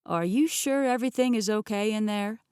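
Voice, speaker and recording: A woman's voice: medium pitch, moderate pace, a little mellow, clean tone, no room sound, clean studio sound, lightly acted. A woman voicing a worried burly male butler. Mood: concerned. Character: worried concerned male butler, former linebacker.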